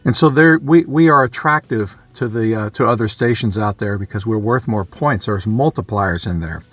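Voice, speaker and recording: A man's voice: lowish voice, normal speed, a bit bright, no echo, soft background music. A man presenting a casual, unscripted vlog explainer, talking conversationally and thinking aloud as he goes. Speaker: amateur radio hobbyist and video presenter.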